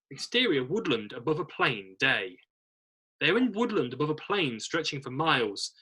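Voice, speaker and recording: A man narrating a screenplay read-through, reading out scene headings and stage directions in a husky voice. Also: mid-range voice, pushed and bright, low-quality recording.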